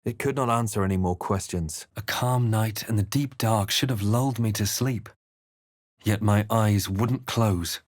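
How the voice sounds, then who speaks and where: An actor playing a brooding, wry male adventurer in a fantasy video game, speaking in a measured, dramatic and understated way. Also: fairly low pitch, no room sound, clean studio sound. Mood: grave, haunted. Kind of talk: video game character lines and narration.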